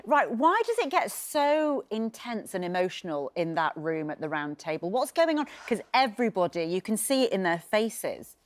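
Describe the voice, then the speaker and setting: A British woman presenter with a lively, swooping delivery and a middling pitch. She chats effusively and exclaims often, in daytime television interview style. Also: normal speed, few pauses.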